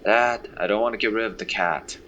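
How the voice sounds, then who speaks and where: A man's voice: on the low side, fairly quick, fairly bright tone, dull audio, a little hiss or hum, lightly acted. A man playing a questioning, complaining farm son in an audio drama.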